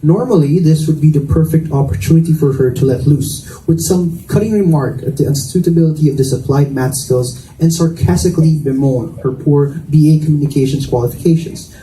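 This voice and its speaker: Very mellow man narrating in an echoey room. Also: on the high side, brisk, hardly any pauses. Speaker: narrator, book reader. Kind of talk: live reading of romance fiction. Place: indoor school event room. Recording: echoey room, distant mic, faint noise.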